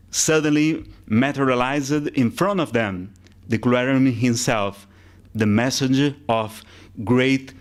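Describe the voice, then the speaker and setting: Brazilian-accented male lecturer, Portuguese native, unhurried, halting restarts, mid-pitched. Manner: slow, deliberate, with halting restarts. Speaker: spiritist lecturer. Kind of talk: public lecture. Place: spiritist center hall.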